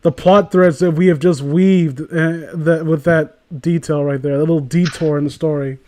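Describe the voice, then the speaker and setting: A man's voice: on the high side, steady tone, moderate pace, darkish tone. A man reading a story aloud as a comedy host, breaking off into sarcastic, incredulous, sweary commentary.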